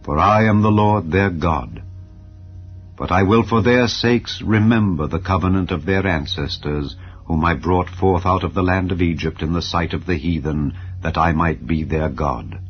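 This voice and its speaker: Male narrator, very low pitch, solemn measured scripture reading; muffled audio, quiet music bed. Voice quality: deep, measured and grave. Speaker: scripture reader. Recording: dull audio with soft music. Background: soft ambient music.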